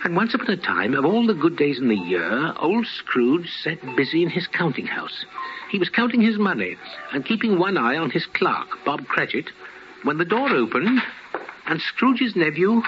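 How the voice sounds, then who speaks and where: Male narrator, vintage radio drama, theatrical, lively intonation, muffled recording, music underneath. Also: fairly high pitch, normal speed. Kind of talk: dramatic scripted storytelling, 1950s radio. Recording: muffled old radio broadcast.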